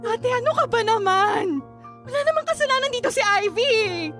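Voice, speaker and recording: High, reproachful Filipino aunt. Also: female voice, expressive, moderate pace, fairly bright tone, soft background music. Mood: alarmed pleading. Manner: pleading, reproachful. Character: concerned aunt, indignant younger sister, protective. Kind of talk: Filipino radio drama acting.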